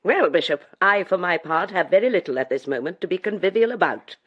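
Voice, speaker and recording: Domineering English bishop's wife, icy and sarcastic, period radio drama. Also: female voice, on the low side, expressive, brisk, highs cut off. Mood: scornful, icy. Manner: imperious, cutting, sarcastic. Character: domineering, scornful bishop's wife of mature years. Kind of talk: British radio costume drama acting.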